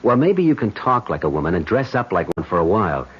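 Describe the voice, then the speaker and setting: Deep, wry skeptical pal. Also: male voice, very animated, fast talker, breathy edge, full character voice. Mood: doubtful. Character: doubtful, wry male friend of a schemer. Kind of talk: 1970s radio drama acting. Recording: dull old radio broadcast, crowd murmur.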